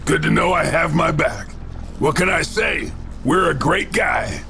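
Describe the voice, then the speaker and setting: A cocky game soldier with a gravelly, fry-edged voice. He drops sardonic one-liners dryly, yet his pitch moves around. Music plays behind him. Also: expressive, measured pace. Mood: wry, calm. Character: cocky wisecracking male action hero soldier. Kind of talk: video game cutscene acting.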